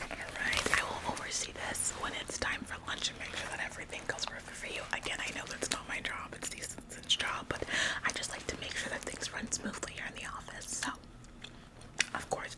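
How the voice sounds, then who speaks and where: A woman's voice: normal speed, close to an everyday voice, poor audio. A woman in a whispered ASMR roleplay, playing an overeager, officious office employee who acts like the boss, chirpy, fussy and politely bossy. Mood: fussy, helpful.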